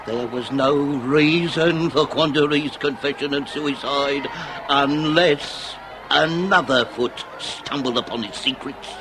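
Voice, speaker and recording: An actor playing a shrewd, authoritative Victorian police inspector with a British accent and a formal, probing manner in a period radio drama. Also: male voice, mid-range voice, takes it slowly, background noise. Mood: brooding, deductive.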